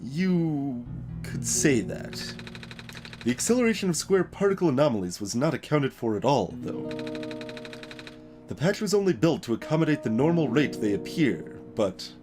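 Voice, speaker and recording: An earnest young male game character, acted by a man, speaks carefully and formally, often halting. The delivery swings widely in pitch, and music plays behind the voice. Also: very animated, close to an everyday voice. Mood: anxious, grave. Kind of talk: voiced reading of video game dialogue.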